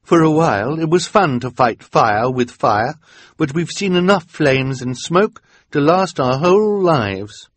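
A man's voice: fairly high pitch, animated, a little slow, no room sound, voice pushed up. A male narrator voicing a weary henchwoman who is tired of her boss's schemes. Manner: weary, sighing.

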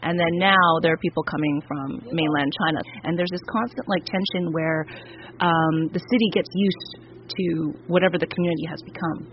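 A Canadian woman, a novelist, answering interview questions in a thoughtful conversational way with frequent pauses and hesitations. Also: fairly low pitch, fairly even intonation. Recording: muffled radio audio, faint hiss.

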